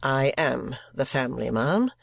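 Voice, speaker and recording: A woman voicing a blunt, stern gentleman in a storybook reading, speaking in a clipped, deliberate way. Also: rumbling low, lively intonation, creaky, breathy edge, dry recording, clean studio sound, heavily acted.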